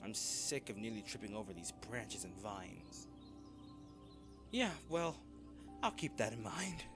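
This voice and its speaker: A male actor plays a cocky treasure hunter in an adventure audio drama. He talks in a wry, flippant way, unhurried but with very lively swings of pitch. Music plays clearly behind him. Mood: weary, wry. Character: cocky, wisecracking male treasure hunter trekking through jungle ruins. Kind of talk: dramatic audio roleplay addressed to a companion.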